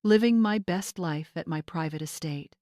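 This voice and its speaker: A woman's voice: on the low side, normal speed, warm tone, dry recording, clean studio sound, close to an everyday voice. Spoiled young influencer bride, played by a female narrator: bossy, scornful, entitled, storybook drama. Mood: smug.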